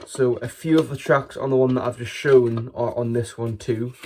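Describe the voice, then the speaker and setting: A man's voice: mid-range voice, steady tone, moderate pace, warm tone. A man with a British accent casually presenting a collection as a video host, in a plain, matter-of-fact way. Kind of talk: casual show-and-tell.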